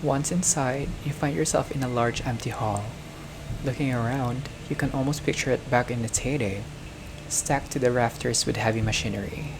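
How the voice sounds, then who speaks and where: Male gamer, creaky voice, narrates a visual novel and comments casually; audible noise on the home recording. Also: mid-range voice, moderate pace. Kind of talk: game playthrough, reading narration aloud with casual reactions.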